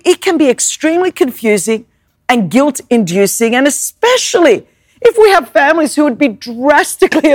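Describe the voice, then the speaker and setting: Woman preacher, Greek first language, high lively voice, dry close mic, emphatic sermon style. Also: no echo. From Greek Orthodox family background. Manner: emphatic, repeats words, rhetorical questions.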